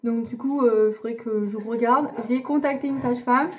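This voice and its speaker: A woman's voice: a little high, steady tone, moderate pace, darkish tone, slight background noise. A young woman from France talking casually to camera in a diary vlog, chatty, with many fillers and restarts. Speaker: vlogger.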